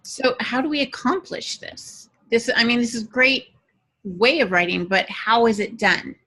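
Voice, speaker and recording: A woman's voice: a little high, expressive, normal speed, bright, forceful tone, rough recording. A woman hosting a podcast with casual, playful banter and joking asides. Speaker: podcast host and producer.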